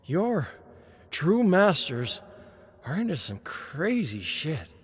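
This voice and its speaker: A man acts out a battered old man in an audio story, defiant and weary. The voice is slow and strained, pitched a little above middle, struggling through halting words. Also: sing-song. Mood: intense, bitter. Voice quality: strained, struggling with every word.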